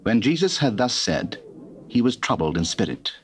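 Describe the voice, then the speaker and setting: A man's voice: medium pitch, big pitch swings, normal speed. A man narrating dramatized scripture in a formal, theatrical storytelling manner. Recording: noisy old cassette recording.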